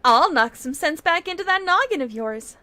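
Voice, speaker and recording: A woman voicing an excitable, devoted young female assistant who is gushing, earnest and cheerfully violent in a video game. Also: very high-pitched, normal speed, a little hiss or hum, exaggerated voice. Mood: insistent.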